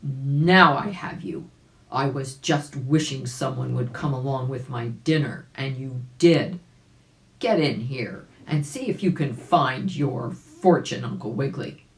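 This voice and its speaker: A sly, boastful storybook bear, played by a woman reader, coaxing its prey in a slow, low-pitched voice. The delivery swings up and down, and the room rings a little around it. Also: echoey room. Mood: gloating, menacing. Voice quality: harsh when revealed.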